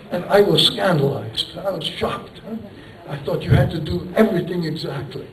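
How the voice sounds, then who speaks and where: Male lecturer, rambling and self-deprecating, fairly high voice, distant in an echoey hall. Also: a little slow. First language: Turkish. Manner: rambling, self-deprecating, poses rhetorical questions, chuckles. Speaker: engineering professor giving a lecture. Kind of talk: conference lecture.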